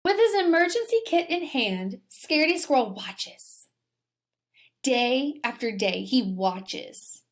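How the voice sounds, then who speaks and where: Female schoolteacher for read-aloud lessons: higher-pitched, very lively intonation, dramatic emphasis, speaks straight to children. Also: slow. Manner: animated, dramatic emphasis, addresses children directly. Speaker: teacher reading aloud to pupils.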